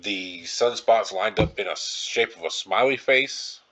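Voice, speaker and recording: A man's voice: on the low side, measured pace, a bit bright, poor audio. A man hosting a podcast solo in a casual, rambling style, informal and circling back to repeat his phrases. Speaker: podcast host.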